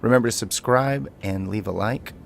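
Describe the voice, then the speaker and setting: A man's voice: fairly low pitch, normal speed, creaky, slight background noise. A man giving casual, playful gameplay commentary as a video host, bantering as he goes.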